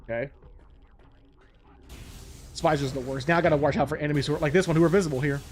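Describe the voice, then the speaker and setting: A man's voice: a little high, steady tone, fast talker, a little hiss or hum. A man hosting a casual let's-play, chatting and rambling with a co-host while he plays, laughing often. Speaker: gameplay host.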